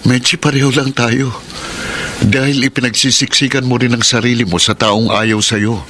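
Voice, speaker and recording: A man's voice: mid-range voice, flat delivery, moderate pace, a little hiss or hum, natural voice. An actor playing a lovelorn, devoted young man in a Filipino radio drama, speaking with earnest, poetic drama. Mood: defensive, firm. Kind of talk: Filipino radio drama acting with first-person narration. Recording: loud music bed under voice.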